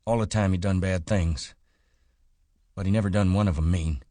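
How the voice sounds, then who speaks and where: A man's voice: low-pitched, fairly even intonation, moderate pace, dark tone, dry recording, pitched above the performer's own voice, close to an everyday voice. A man doing a tense, urgent ranch worker. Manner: urgent, clipped, rough speech. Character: worried, tough male ranch worker.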